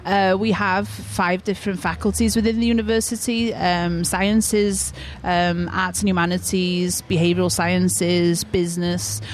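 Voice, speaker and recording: A woman's voice: fairly low pitch, flat delivery. Woman, Welsh first language, from North Wales, friendly, easygoing, convention-fair interview, faint background noise. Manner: friendly, laughs easily, measured with fillers. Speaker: university representative guest. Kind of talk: casual radio interview.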